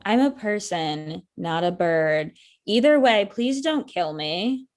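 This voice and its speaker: A woman acts out a sardonic ex-girlfriend in her thirties. She is wounded and pointed, with a dry delivery at medium pitch. It sounds like natural dialogue from a comedy script. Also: lively intonation, takes it slowly, a little mellow. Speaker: actor in a table read.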